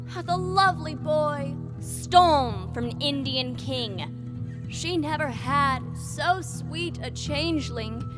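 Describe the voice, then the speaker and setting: A woman playing a mischievous, boastful fairy sprite and jester, telling tales in Shakespearean verse for an audio drama. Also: high-pitched. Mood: warning, storytelling. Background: music.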